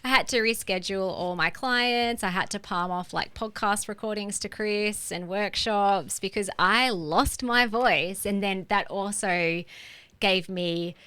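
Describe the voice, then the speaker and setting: A woman, co-hosting a podcast and telling a personal story in an open, chatty way, often laughing and filling pauses with "like". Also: medium pitch, sharp tone, clear, resonant tone, dry recording. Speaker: podcast co-host.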